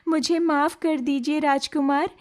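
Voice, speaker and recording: Woman playing a high-voiced, deferential young village girl. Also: fairly even intonation, unhurried. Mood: anxious, relieved. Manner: polite, deferential, earnest. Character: gentle, apologetic young village girl shunned as unlucky. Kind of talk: Hindi animated moral-story acting.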